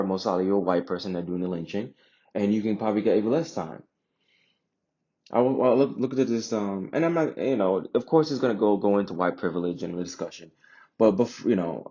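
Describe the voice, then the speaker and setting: Deep, dark-voiced man from Jamaica. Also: muffled recording. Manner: emphatic, repeats phrases for emphasis, rhetorical questions. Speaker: vlogger sharing personal views. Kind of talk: casual opinion monologue.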